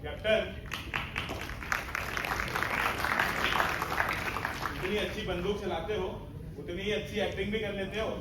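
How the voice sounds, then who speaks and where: A man's voice: sits high, steady tone, fairly quick, very noisy, lightly acted. A young man playing a stern, principled army major in an amateur stage play, speaking in a commanding, theatrical way. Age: young adult. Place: stage in a hall, distant microphone. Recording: echoey hall from far off, music behind.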